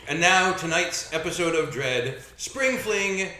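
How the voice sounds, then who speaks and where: Bright-voiced guy running a tabletop game, playful, echoey room. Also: fairly high pitch, animated, unhurried, slight background noise. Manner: playful, easygoing storyteller. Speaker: game master. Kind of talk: live tabletop game narration. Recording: roomy echo.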